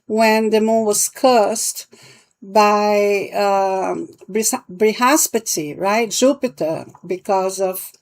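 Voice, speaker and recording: A woman with a Brazilian accent, a native Portuguese speaker, talks at a slow pace in a mid-range voice. She explains things casually and haltingly, often checking in with the listener. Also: medium pitch. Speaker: palmistry vlogger and teacher.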